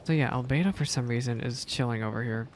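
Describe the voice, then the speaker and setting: A man's voice: mid-range voice, quick pace, slight background noise. A man giving casual live reaction commentary on a game as a streamer, in a gushing, enthusiastic manner.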